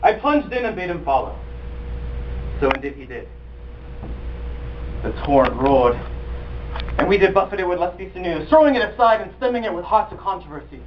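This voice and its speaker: A man's voice: high-pitched, big pitch swings, brisk, pauses often. A young man acting a bitter, envious Roman conspirator in a declaimed Shakespearean stage monologue. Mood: intense storytelling. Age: young adult. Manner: theatrical declamation, persuasive. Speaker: acting student. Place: acting studio. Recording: distant mic, muffled, faint noise.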